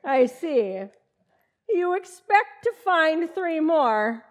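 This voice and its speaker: A woman plays a teasing, long-suffering wife in an old-time radio comedy. The voice is high and takes its time, with a wry, gently scolding manner. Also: sing-song, very slow, heavily acted. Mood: chiding, wry.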